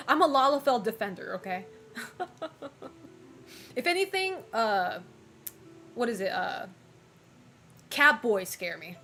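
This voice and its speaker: A woman's voice: fairly high pitch, big pitch swings, slow, long pauses, pushed and bright, soft background music. Chatty young woman streamer, laughing. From United States and Japan. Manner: chatty, frank, laughs often, many fillers. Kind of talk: casual chat reading viewer messages.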